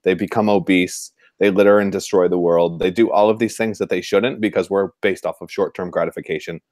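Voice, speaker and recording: A man with a fairly low voice interviews as a podcast host. His style is casual and conversational, and the sound is dry, with no room around it. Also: steady tone, fairly quick, a little mellow, no echo.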